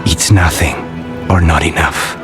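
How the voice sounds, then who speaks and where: Slow-spoken, brooding assassin over loud music. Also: male voice, extremely low pitch, steady tone. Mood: soft, reserved. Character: brooding male assassin possessed by a spirit, loyal, guarded. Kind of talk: video game voice acting.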